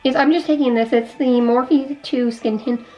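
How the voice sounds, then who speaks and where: Congested young woman, high voice, chatty vlogger. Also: steady tone, normal speed, darkish tone, poor audio. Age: young adult. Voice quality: slightly congested nasal voice. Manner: rambling, repeats and restarts thoughts. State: sick with a cough and sore throat. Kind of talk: casual chatty life update while doing makeup.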